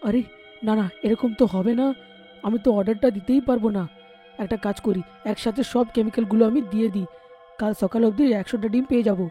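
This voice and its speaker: A man voicing a greedy, scheming young poultry seller with a wheedling manner in an animated horror folk tale. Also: high voice, fast talker, faint music behind, lightly acted. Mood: frustrated, pushy.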